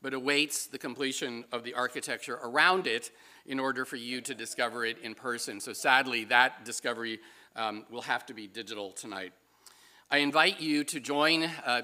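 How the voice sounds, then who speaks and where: A man's voice: mid-range voice, bright, forceful tone. A man giving a courteous, formal introduction of a guest from a podium in an auditorium. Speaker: museum director introducing a guest. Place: auditorium stage. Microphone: podium mic.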